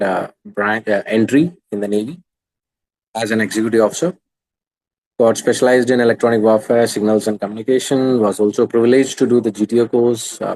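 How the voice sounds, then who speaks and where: A man's voice: fairly low pitch, darkish tone, rough recording. A man in his thirties with an Indian English accent, coaching students in an online class, earnest and hesitant with many restarts. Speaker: exam coach.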